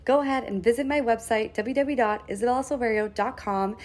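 Bubbly woman host plugging a course. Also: quick pace. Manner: bubbly, excited sales pitch. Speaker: podcast host reading an ad. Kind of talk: upbeat self-promotion read. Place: new home.

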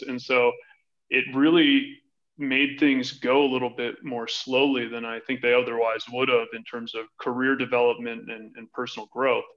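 A man's voice: medium pitch, bright, forceful tone, highs cut off. A man in his thirties from Hawaii with an American accent, answering conversationally as a podcast interview guest. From Hawaii, United States. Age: 30s. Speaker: podcast guest, financial advisory director.

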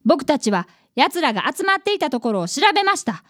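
Woman acting schoolboy detective, very high pitch, animated intonation, polite, earnest, dramatic radio play delivery. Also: a little fast, dry recording, studio quality. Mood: anxious narrating. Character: earnest excitable eleven-year-old boy apprentice detective. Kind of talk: radio drama narration and dialogue.

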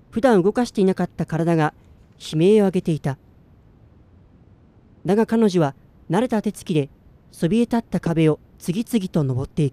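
A man's voice: high voice, dark tone, dry recording. An actor playing a listless, self-doubting man in his twenties in a radio drama, plain-spoken in narration and dialogue. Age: 20s.

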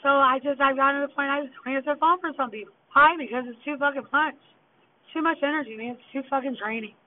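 A woman hosting her own podcast, venting bluntly and profanely in an unscripted solo rant, her voice hoarse and going. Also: sits high, steady tone, fast talker. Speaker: podcast host. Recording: tinny phone-quality recording.

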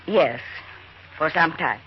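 An actress playing a grieving young Viennese stage actress, who speaks quietly and guardedly. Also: female voice, low-pitched, takes it slowly, highs cut off. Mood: sorrowful. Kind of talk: 1940s radio acting. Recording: hissy old radio broadcast recording.

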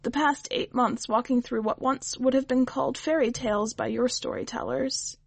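A woman voicing a weary, blunt female witch who speaks in a dry, measured, skeptical way. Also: on the high side, fairly even intonation, gravelly, breathy edge, no echo, pitched above the performer's own voice.

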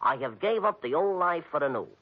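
A man's voice: fairly high pitch, big pitch swings, fairly quick. An actor playing a flashy small-time American gambler in 1950s radio style, a man with a pompous, over-formal way with slang. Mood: rueful storytelling. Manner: stilted, over-formal underworld slang without contractions. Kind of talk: 1950s radio comedy-detective acting. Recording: dull, muffled vintage radio recording.

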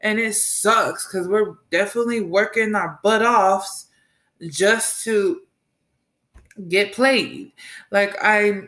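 An American woman in her late twenties, a mother and podcast host, talking through her week in a rambling, animated solo chat and laughing often. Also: mid-range voice, takes it slowly. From United States. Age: late 20s. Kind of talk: casual solo monologue.

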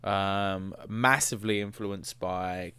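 A man's voice: fairly low pitch, sharp tone, clean tone, dry recording. Playful teasing man on a podcast. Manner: playful, teasing, quick to laugh. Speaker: podcast co-host. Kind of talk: casual chat.

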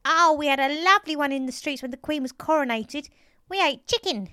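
A woman doing a high-pitched, dotty old lady. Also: fairly quick, bright, forceful tone, no room sound, clean studio sound. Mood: dotty, pleased.

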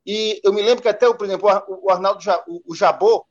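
A man co-hosts a live online talk and asks long, halting questions full of restarts and fillers. His voice sits fairly high, and the audio sounds muffled and lo-fi. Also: steady tone. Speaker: co-host interviewer. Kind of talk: live stream interview questions.

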